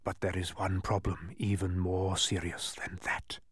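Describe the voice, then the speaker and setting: A pompous, touchy wizard of numbers from a storybook, acted out by a man. His delivery is emphatic and declamatory, with a very animated melody. The sound is close and dry. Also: medium pitch, normal speed, clean tone, no room sound, close to an everyday voice. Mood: grave, ominous. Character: pompous, touchy male mathematician wizard, thundering and stubborn.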